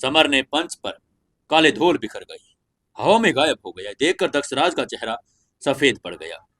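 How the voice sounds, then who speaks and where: An Indian man reads a scripted fantasy audio story in a theatrical, deliberate storytelling manner. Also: mid-range voice, big pitch swings, a bit bright, no echo. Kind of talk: dramatic scripted fantasy narration.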